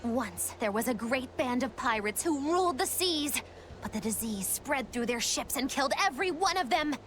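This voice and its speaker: A very high, bright-voiced witch from a video game. She is whiny and grandiose, delivering everything as grand melodrama over faint background noise. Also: animated, normal speed. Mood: ominous. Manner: melodramatic, grandiose old-fashioned phrasing. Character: theatrical, whiny witch who dramatizes her own doom.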